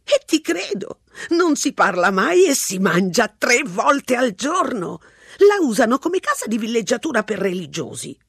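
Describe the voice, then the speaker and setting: A reader voicing a scruffy, garrulous old woman who rambles on, in a lively, husky voice. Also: mid-range voice, dry recording, studio quality, voice pushed up, exaggerated voice. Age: older. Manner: chatty, rambling, unhurried. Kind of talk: audiobook narration.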